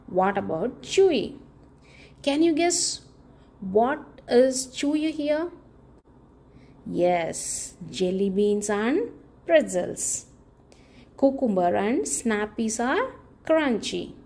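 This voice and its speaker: A woman reading a picture book aloud to young children in an expressive, deliberate way, stressing key words and posing questions to her little listeners. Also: on the high side. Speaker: children's book reader, channel host.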